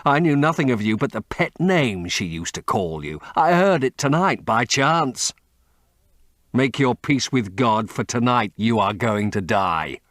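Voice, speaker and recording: A man voicing a vengeful sailor who threatens slowly and deliberately. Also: mid-range voice, animated, fairly bright tone, dry recording, studio quality. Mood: menacing. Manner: slow, menacing, deliberate.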